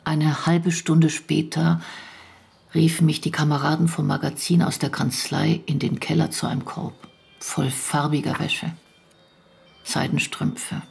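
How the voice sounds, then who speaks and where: A stern bitter investigator in a radio play, really raspy breathy voice, creaky, deliberate. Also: female voice, low voice, level tone, a little mellow, heavily acted. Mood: grave, measured. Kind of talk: German radio drama monologue and reading.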